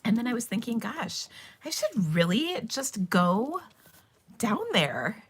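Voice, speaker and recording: A woman hosting a casual live craft stream, chatty and bubbly, her voice a little hoarse because she is losing it. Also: fairly high pitch, sing-song, slow. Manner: chatty and bubbly, with frequent ums and laughs. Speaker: host of a live stamping and cardmaking stream.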